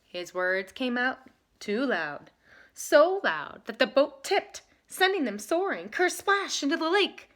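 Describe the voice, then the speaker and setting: A woman with a bright, slightly high voice speaks as a teacher at storytime. She is expressive and emphatic, and her pitch moves around a lot as she explains and reads aloud. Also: slow. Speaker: teacher reading a picture book aloud. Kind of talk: lesson talk and animated storybook reading.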